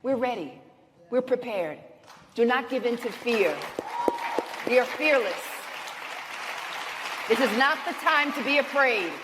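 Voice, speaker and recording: A man delivering a loud, declamatory, defiant political speech to rally people. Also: extremely high pitch, animated, a little slow, pauses often. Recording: noisy live crowd recording.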